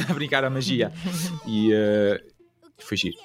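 Casual, hesitant Portuguese man, medium pitch. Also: takes it slowly. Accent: European Portuguese. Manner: informal, hesitant phrasing. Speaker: interviewed father. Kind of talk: casual interview answers.

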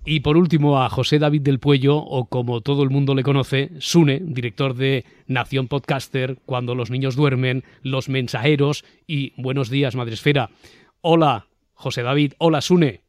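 A man's voice: mid-range voice, steady tone, moderate pace, a little mellow, no echo, studio quality. A man with a Castilian Spanish accent hosting a podcast in an articulate, scripted radio-presenter style. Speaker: podcast host. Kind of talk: scripted presenting of a discussion show. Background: faint music bed.

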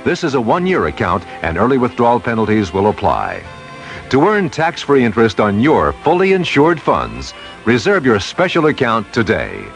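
A man's voice: mid-range voice, wildly swooping pitch, normal speed, faint music behind. An American man reading a scripted radio advertisement as an announcer. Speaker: commercial announcer.

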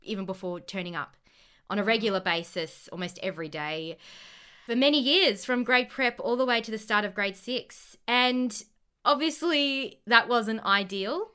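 A woman's voice: a little high, normal speed, sharp tone, smooth. An Australian woman in her late twenties, a podcast guest, talking in a bubbly, earnest way and laughing often. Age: 20s. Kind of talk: casual podcast chat.

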